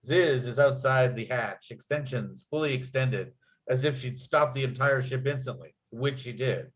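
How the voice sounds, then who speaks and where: A male narrator with a medium-pitched voice reads a script aloud. He delivers scene headings and action in an expressive storytelling manner. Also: normal speed, poor audio.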